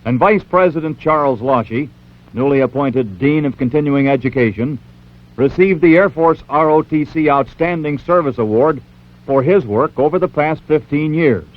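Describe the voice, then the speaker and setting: A man's voice: a little high, normal speed, lo-fi sound. A man with an American accent reading scripted narration as a newsreel narrator, on a 1970s soundtrack.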